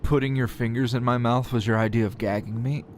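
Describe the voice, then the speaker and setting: A man's voice: mid-range voice, fairly even intonation, fairly quick, slight background noise, close to an everyday voice. A man playing a defiant, sardonic captured hero and teacher in an ASMR roleplay, taunting his kidnapper in a drawl broken by sighs and chuckles. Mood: dry, mocking.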